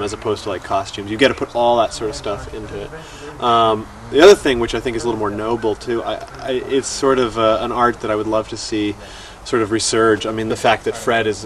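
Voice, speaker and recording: A man talking off the cuff in a documentary interview, thoughtful and earnest. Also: fairly low pitch. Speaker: voice actor. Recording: noisy ambience, distant microphone.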